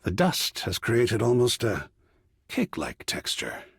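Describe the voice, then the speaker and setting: A man's voice: medium pitch, huge pitch swings, a little slow, vocal fry, husky, no echo, studio quality, full character voice. A man voicing an effusive, refined art curator in a video game, theatrical and fussy, savoring florid phrases. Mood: fussy, concerned. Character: effusive, refined male art curator, an artwork come alive. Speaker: gallery curator character.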